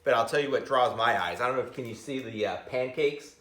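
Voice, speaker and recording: A middle-aged man reading a picture book aloud expressively, pausing to comment and ask his listeners questions, recorded in a school library. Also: medium pitch, fairly bright tone. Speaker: school reader of picture books to children. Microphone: camera a few metres away. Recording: roomy library, camera at a distance.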